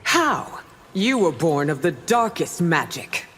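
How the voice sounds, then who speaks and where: A woman's voice: deep voice, big pitch swings, takes it slowly, exaggerated voice. A woman playing a bitter widowed empress in a video game, regal and scornful. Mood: bitter, imperious. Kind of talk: video game character dialogue. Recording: long reverb with music behind.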